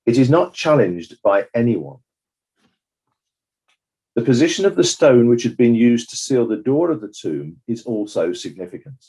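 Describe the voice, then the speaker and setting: Male actor as judicious inquest coroner, husky, mellow, formal, deliberate, impartial, scripted courtroom drama. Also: medium pitch. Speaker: presiding judge of inquiry.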